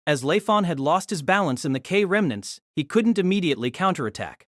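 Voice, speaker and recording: A man's voice: fairly high pitch, lively intonation, a little fast, no echo, clean studio sound. A man giving a dramatic scripted narration of an action fantasy story.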